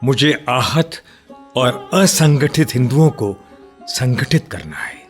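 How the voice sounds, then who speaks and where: An actor in a radio play playing an earnest, outspoken nationalist man who debates firmly but respectfully, in deliberate, declamatory phrases. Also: medium pitch, animated, faint music behind. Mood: indignant.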